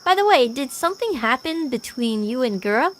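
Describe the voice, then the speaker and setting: A woman playing a gentle, apologetic young woman in an audio drama, soft-spoken and hesitant, often trailing off. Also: high-pitched, big pitch swings, fairly quick, slight background noise. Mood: worried. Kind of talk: animatic audio drama acting, naturalistic dialogue.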